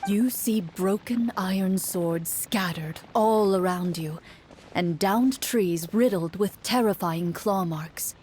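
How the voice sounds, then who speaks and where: A woman's voice: mid-range voice, takes it slowly, darkish tone, slight background noise, poor audio. A woman giving scripted second-person storytelling narration for a video game.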